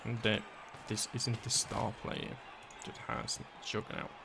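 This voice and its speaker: A male caster calls a live video game match in a raspy, worn-out voice with a gravelly edge. He walks through each play out loud, weighing options as he goes. A faint noise sits under the recording. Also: lowish voice, monotone, measured pace. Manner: analytical, thinking aloud, thanks viewers. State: hoarse.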